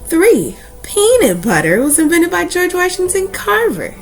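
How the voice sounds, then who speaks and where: A woman hosts a children's read-aloud at a very slow, deliberate pace. Her delivery swings widely in pitch, with emphatic stresses and dramatic pauses. Music plays behind her voice. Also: wildly swooping pitch. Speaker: host of children's book read-aloud series. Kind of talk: expressive read-aloud of a children's picture book.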